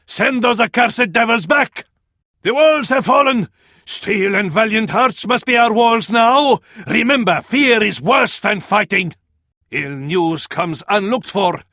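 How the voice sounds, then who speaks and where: An actor playing a devout, grandiose advisor to a sultan in a video game, with an Arabic accent and flowery, dramatic delivery. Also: male voice, high voice, lively intonation, slow pace, bright, forceful tone, no echo, clean studio sound, full character voice. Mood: urgent alarm. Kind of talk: theatrical video game voice acting.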